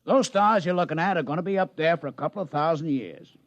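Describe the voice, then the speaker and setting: An actor playing a fast-talking, wisecracking male casino boss in a 1950s radio drama. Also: a little high, no room sound, muffled recording, close to an everyday voice. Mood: brash. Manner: fast-talking, wisecracking, tough-guy slang. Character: brash male casino boss and town councilman.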